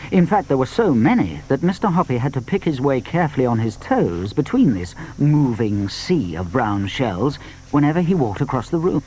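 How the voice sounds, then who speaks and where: A man with a British accent narrating a scripted children's story in an expressive storytelling manner. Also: medium pitch, normal speed, a little hiss or hum, poor audio.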